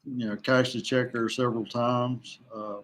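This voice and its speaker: A man talking casually as a podcast guest, a veteran angler who haltingly thinks aloud as he goes. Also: mid-range voice, little pitch movement, a bit bright.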